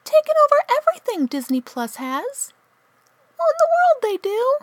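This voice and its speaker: A tiny, ancient-sounding alien baby, squeaks out solemn wisdom in backwards phrasing. The voice is extremely high and very animated, with a dry close sound, in a comic radio play. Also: female voice, moderate pace, no room sound, exaggerated voice. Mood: solemn, earnest. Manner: inverted word order, solemn pronouncements.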